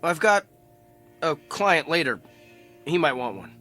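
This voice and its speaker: Brusque, sharp-voiced troubled executive. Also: male voice, on the high side, sing-song, measured pace, soft background music, heavily acted. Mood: bitter, resigned. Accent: American. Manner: terse, probing, sardonic. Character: troubled, brusque male office executive with bitter irony. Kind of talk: naturalistic dramatic acting.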